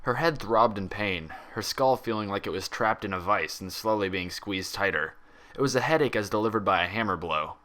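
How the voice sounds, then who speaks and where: A man narrates a story expressively from a script. His voice sits fairly low, with a bright, forceful tone that carries the prose. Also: fairly quick. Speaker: fan fiction reader.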